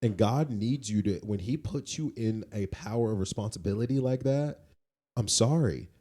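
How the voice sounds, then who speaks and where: Casting: male podcast co-host, dark mellow tone, slightly low, conversational yet insistent and preachy. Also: moderate pace. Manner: emphatic, moralizing, repeats points for weight. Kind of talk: conversational opinion on faith.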